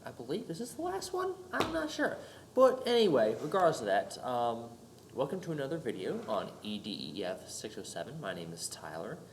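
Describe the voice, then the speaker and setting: A man's voice: medium pitch, wildly swooping pitch, moderate pace, background noise. A young man with a stuffed-up cold giving a casual recorded class presentation, rambling with many fillers and restarts. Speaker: student presenter.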